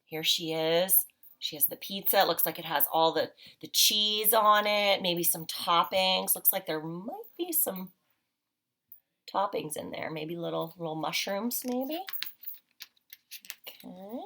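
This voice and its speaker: A woman's voice: fairly low pitch, lively intonation, a little slow, bright, forceful tone. A woman teacher leading a read-aloud picture walk for children, explaining simply and asking frequent, encouraging questions.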